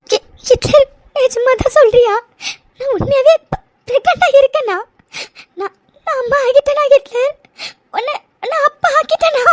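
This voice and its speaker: Sweet, emotional young wife, acted by a woman: tiny high-pitched voice, halting plaintive phrasing, dry audio-drama sound. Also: a little mellow, no echo. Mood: tearful disbelief. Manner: halting, repeating words, plaintive. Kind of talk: emotional serial-style audio drama acting.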